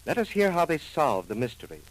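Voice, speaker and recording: A man's voice: on the high side, big pitch swings, poor audio. Formal American man announcing old radio drama. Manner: formal, measured announcer delivery. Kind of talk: 1940s scripted radio narration. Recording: old 1940s radio recording.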